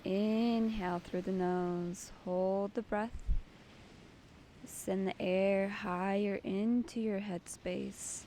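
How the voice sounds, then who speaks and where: A woman leading a guided meditation as its host, giving soothing, deliberate instructions in short phrases. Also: mid-range voice, fairly even intonation, warm tone, a little hiss or hum.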